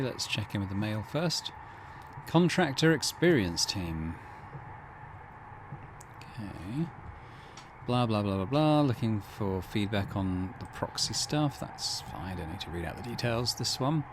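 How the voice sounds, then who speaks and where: Casual man narrating a lively let's play. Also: lowish voice, unhurried, noisy background. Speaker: gaming channel host. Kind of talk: casual let's play commentary and read-aloud.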